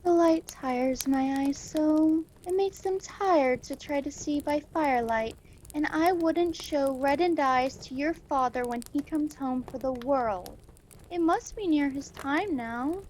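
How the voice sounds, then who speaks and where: A woman plays a tender, weary mother in a radio play, grieving gently. Her voice is very high and unhurried, halting, over faint noise on a home call recording. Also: rough recording. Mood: tender, sorrowful.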